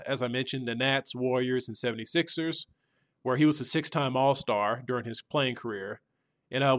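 A male podcast host speaks in a medium-pitched voice. He delivers an introduction in a conversational, loosely worded style. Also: fairly bright tone.

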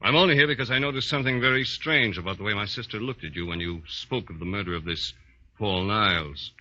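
A distrustful, self-interested brother speaks in a deep, extremely forceful voice with measured, formal phrasing. The style is 1940s radio drama, with a dull vintage sound. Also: male voice. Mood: guarded.

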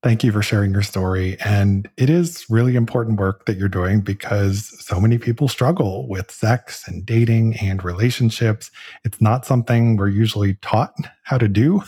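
A man hosting a podcast, interviewing a guest in a measured, earnest way. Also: fairly low pitch, dark tone, slightly rough. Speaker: podcast host. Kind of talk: podcast interview and read ads.

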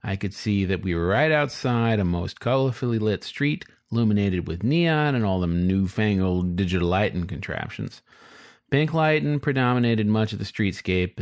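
A man's voice: low voice, dry recording, studio quality. A man reading a scripted first-person story as its narrator. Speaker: story reader.

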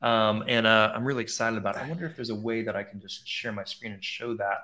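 Upbeat bright-voiced man in his thirties. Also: on the low side, fairly even intonation, fast. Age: 30s. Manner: upbeat, chatty, frequent restarts and fillers. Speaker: photography mentor and host. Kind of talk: casual livestream chat.

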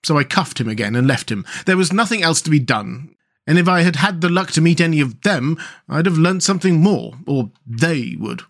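Man playing a hearty, adventurous otter, fairly high-voiced. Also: animated, a little fast. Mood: boastful. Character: hearty, adventurous male otter, cheerful talkative friend.

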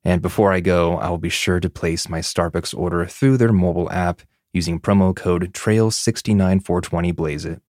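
Deep-voiced American man, dry sound. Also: moderate pace, hardly any pauses, a little mellow, no room sound. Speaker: podcast co-host. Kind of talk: casual podcast banter.

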